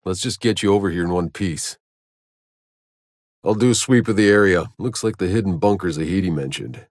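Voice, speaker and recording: A man playing a gruff, weary, sardonic sci-fi soldier in a video game, with terse, dry, world-weary delivery. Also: deep voice, normal speed, no echo, lightly acted. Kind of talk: video game character voice lines.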